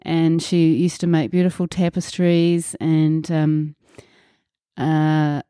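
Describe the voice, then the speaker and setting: A woman's voice: low voice, flat delivery, slow, warm tone, smooth, no room sound, clean studio sound. A New Zealand woman in her forties, a podcast host talking casually and reflectively about her life, with many fillers. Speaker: podcast host being interviewed. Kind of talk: casual conversational interview.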